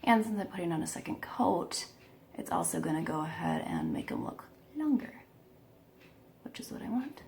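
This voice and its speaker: A woman giving a casual makeup tutorial, chatty and self-deprecating, a bit croaky today, filming herself at home. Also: lowish voice, wildly swooping pitch, moderate pace, darkish tone, a little hiss or hum. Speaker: beauty vlogger.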